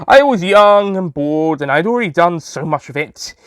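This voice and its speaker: A man's voice: high voice, lively intonation, moderate pace, a bit bright, clear, resonant tone, dry recording, clean studio sound. A man playing a young, sweet-obsessed alien Time Lord who tells his story in a chatty, self-amused way, full of asides. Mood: reminiscing. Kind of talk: first-person audio story narration in character.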